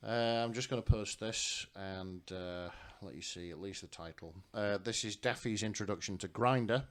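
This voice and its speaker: A man hosting a podcast in casual chat, speaking in a halting, hesitant way. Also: on the low side, fairly bright tone. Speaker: podcast host.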